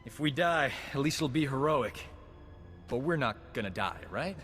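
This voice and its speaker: A man's voice: mid-range voice, animated, normal speed, a bit bright, close to an everyday voice. Game role: man as dedicated rebel medic, wisecracking and flippant, mid-range voice, reverberant sound over music. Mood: nervous bravado. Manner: wry, flippant. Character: wisecracking, dedicated male rebel field doctor. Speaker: Resistance field doctor character. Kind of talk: video game cutscene acting.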